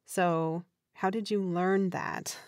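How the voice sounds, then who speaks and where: A woman co-hosting a podcast, putting questions to guests in a measured way. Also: on the low side, fairly even intonation, a little mellow, no echo, clean studio sound. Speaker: podcast co-host, interviewer.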